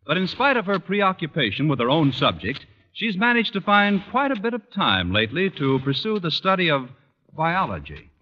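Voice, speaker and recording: A man's voice: on the high side, sing-song, moderate pace. A man announcing an old radio comedy in a polished, scripted vintage style. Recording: muffled old radio, music underneath.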